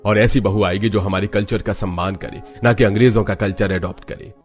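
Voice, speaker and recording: A man acts out a homesick, stern aging patriarch in a family cartoon. His voice is low and deep, with music playing underneath. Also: steady tone, a little fast, lightly acted. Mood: stern, firm. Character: homesick, stern aging father, head of the household.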